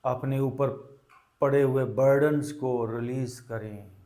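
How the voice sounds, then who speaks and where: A man with a medium-pitched voice leads a guided meditation. He speaks very slowly and deliberately, in short calming phrases. Long pauses separate his instructions, as a teacher would leave them for students to follow.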